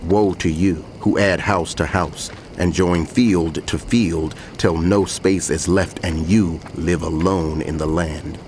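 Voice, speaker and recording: A man's voice: sits low, fairly even intonation, slow, few pauses, a little mellow, slight background noise. A man playing a solemn prophet who proclaims woe in an emphatic, declamatory way in dramatized scripture narration. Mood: grave warning.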